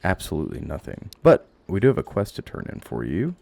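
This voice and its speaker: Very deep, gravelly man rambling over gameplay. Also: wildly swooping pitch, darkish tone, no echo. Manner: rambling, halting, sweary, talks to himself. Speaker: game streamer. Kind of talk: casual gameplay commentary, thinking aloud. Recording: audible background noise, clicks.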